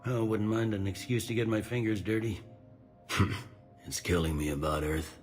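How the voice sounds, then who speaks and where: A man playing a deep-voiced, gruff security captain. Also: fairly even intonation, moderate pace, faint music behind, lightly acted. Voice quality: gruff, plain-spoken, wry. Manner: laughs off questions, talks bluntly and dryly. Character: blunt, weary male station security captain. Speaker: security officer on a space station. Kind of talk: video game dialogue.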